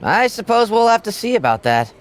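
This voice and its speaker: Smug sarcastic assistant, high voice, lively swooping pitch, game. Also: male voice, a little fast. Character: smug male assistant, sly and teasing. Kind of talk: video game voice acting.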